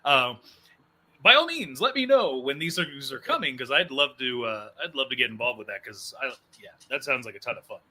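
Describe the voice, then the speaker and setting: A man's voice: medium pitch, expressive, brisk, bright, forceful tone. A man hosting a casual interview show, chatting conversationally with guests. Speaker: show host.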